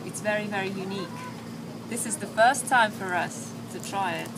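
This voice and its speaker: A woman's voice: medium pitch, moderate pace. A young woman hosting a casual travel vlog, upbeat and laughing easily, on an outdoor terrace. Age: young adult. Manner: bubbly, upbeat, laughs often. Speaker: vlogger host. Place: open hillside terrace outdoors. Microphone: camera mic. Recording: steady outdoor background noise.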